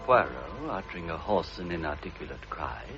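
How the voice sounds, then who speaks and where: An actor playing a detective's proper, concerned friend, formal and expressive in old radio drama style. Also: male voice, sits low, brisk, loud music behind the voice. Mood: grave narration. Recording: dull, lo-fi old radio recording.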